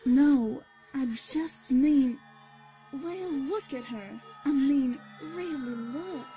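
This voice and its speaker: A woman's voice: high-pitched, extremely slow, warm tone, faint music behind. A woman playing a nervous, hesitant young nurse in a horror audio drama. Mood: squeamish, uneasy. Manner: hesitant, faltering. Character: nervous young female nurse, new surgical assistant.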